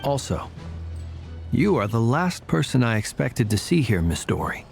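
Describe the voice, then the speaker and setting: An actor playing an unruffled, secretive gentleman warrior with a polite, formal, understated way of speaking. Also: medium pitch, sing-song, normal speed, creaky. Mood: polite, guarded. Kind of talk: English game dub acting. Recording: music behind the voice.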